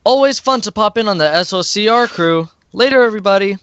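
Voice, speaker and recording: A man's voice: high-pitched, expressive, a little fast, a bit bright, clear, resonant tone, muffled recording. A man playing a breezy, confident student music promoter in an amateur radio play. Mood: breezy, assured.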